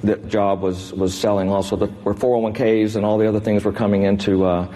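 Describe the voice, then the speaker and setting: A man in his sixties with an American accent speaks in a low, soft-edged, dark voice. He answers interview questions in measured, halting phrases, and the sound rings with the long reverb of a big room. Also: level tone. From Texas, United States. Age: 60s. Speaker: interviewed business executive. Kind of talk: unscripted on-stage interview answers. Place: large indoor event room before an audience.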